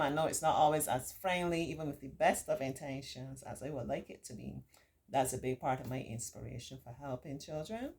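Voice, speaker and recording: Low-voiced middle-aged woman, encouraging storytime host. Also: a little mellow. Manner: encouraging, reassuring, repeats points for emphasis. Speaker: children's storytime host and remedial tutor. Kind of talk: read-aloud story with warm, informal teaching asides.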